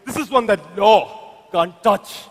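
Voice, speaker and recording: A man plays a compromised police officer backed into a corner. The voice is very high and defiant, delivered as stage drama. Long reverb from a hall trails every phrase. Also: slow pace. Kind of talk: amateur stage drama dialogue.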